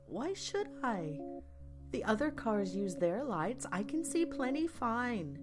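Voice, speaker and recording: Slow, whiny, self-absorbed aunt acted by a woman. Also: fairly high pitch, sing-song. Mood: dismissive, complaining. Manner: hesitant, excuse-making. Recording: music behind the voice.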